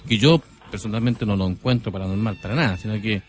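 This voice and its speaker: A man's voice: fairly low pitch, fast. A man from Chile with a Chilean accent, hosting a conversational radio interview. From San Antonio, Chile. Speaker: radio host interviewing a guest. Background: background music.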